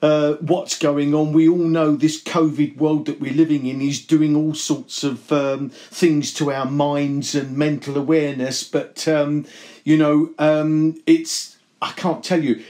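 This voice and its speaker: A man's voice: on the high side, flat delivery, unhurried, warm tone. A man hosting a radio show, interviewing a guest, effusive and full of fillers.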